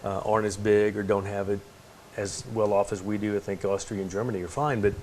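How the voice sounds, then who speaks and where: Easygoing Texan man, lowish voice. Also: little pitch movement, a little fast, a little mellow, slight background noise. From Texas. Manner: easygoing, rambling questions with fillers. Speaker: TV station host, interviewer. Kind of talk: casual interview. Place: tv station room.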